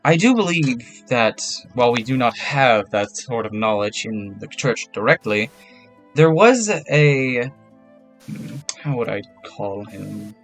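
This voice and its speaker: A man voicing an old male priest who speaks slowly and formally. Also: medium pitch, lively intonation, soft background music. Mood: courteous. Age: older. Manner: slow, formal, courteous.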